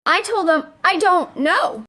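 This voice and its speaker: A high, girlish voice in a radio crime play. The schoolgirl is stubborn and defensive, protesting against her accusers. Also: animated, unhurried, sharp tone, no room sound, clean studio sound, full character voice.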